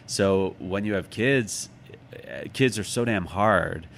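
A man's voice: low-pitched, takes it slowly, vocal fry. A middle-aged man giving reflective, conversational answers as an interview guest, thoughtful and self-deprecating. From United States, Chicago.

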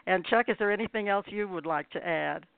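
A woman's voice: medium pitch, fairly quick, gravelly, clear, resonant tone, dry recording. An older woman hosting an interview show, effusive and appreciative, often repeating her phrases. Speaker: radio show host. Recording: dull lo-fi internet radio line.

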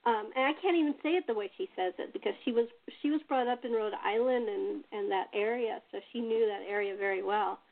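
Higher-pitched woman on a phone-like line. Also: fairly quick, gravelly, few pauses. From California. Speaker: radio playwright in a cast chat. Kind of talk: casual chat, telling family anecdotes. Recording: thin phone-like call audio.

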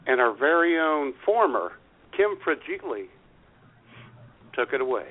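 A man's voice: fairly high pitch, sing-song, measured pace. Guy doing goofy radio banter, really up and down voice, mock nostalgic, tinny narrow audio. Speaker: radio show co-host. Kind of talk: casual comic radio banter. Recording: narrow, phone-like radio sound.

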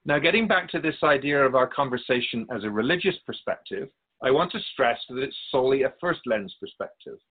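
A man playing a wise, patient otherworldly spirit in a radio drama, explaining things in a measured, gently pedantic way with a husky voice. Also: mid-range voice, lively intonation, phone-quality sound, natural voice. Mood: explanatory, measured. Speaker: spirit being interviewed. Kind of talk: scripted radio drama dialogue.

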